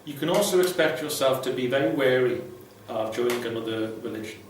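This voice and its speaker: Man, medium pitch, casual vlog advice, blunt and irreverent, swearing freely, heavy room echo, faint noise. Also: animated, brisk. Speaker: vlogger, ex-Jehovah's Witness advice host. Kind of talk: casual unscripted advice talk to viewers. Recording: very echoey room, distant mic, faint noise.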